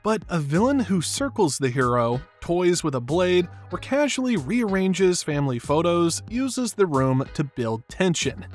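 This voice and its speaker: A man's voice: high voice, very animated, measured pace, hardly any pauses. A man delivering scripted narration for an analytical video essay. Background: background music.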